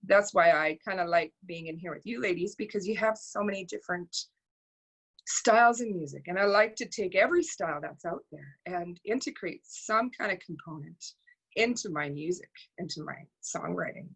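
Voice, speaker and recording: A woman's voice: lowish voice, normal speed, vocal fry, fairly bright tone, breathy edge, low-quality recording. A woman chatting casually on a video call, with frequent ums and easy laughs. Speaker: workshop participant.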